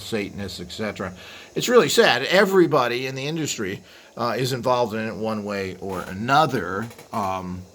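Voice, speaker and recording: A man hosting a video with an unscripted explanatory monologue, rambling and halting as he trails into asides. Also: on the low side, a bit bright. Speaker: video host. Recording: clearly audible background noise.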